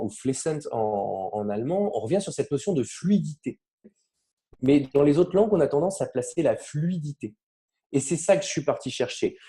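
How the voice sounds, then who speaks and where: A man from France explaining his language learning method in a relaxed interview, animated and often trailing off mid-sentence. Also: medium pitch. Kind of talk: casual conversational interview.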